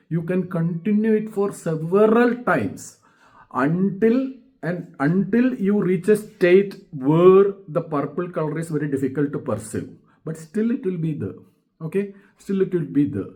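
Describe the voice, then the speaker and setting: A man with an Indian accent giving an explanatory school science lesson as a teacher, haltingly repeating and rephrasing his phrases and checking in with okay. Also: on the high side, darkish tone.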